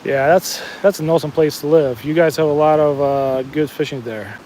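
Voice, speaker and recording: Fishing-streamer host: Jersey-accented man, a touch high-pitched, easygoing running chatter outdoors, faint traffic hum behind. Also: normal speed, a little mellow. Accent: New Jersey. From New Jersey. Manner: chatty, answers viewer comments, frequent restarts. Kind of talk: casual live-stream chat while fishing. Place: outdoors at a fishing spot, walking the bank.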